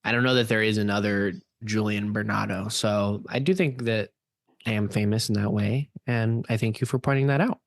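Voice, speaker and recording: A man co-hosting a podcast, chatting in a halting, tongue-in-cheek, deadpan self-important way. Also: on the low side, vocal fry, no room sound, studio quality. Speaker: podcast co-host and playwright. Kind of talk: tongue-in-cheek casual chat.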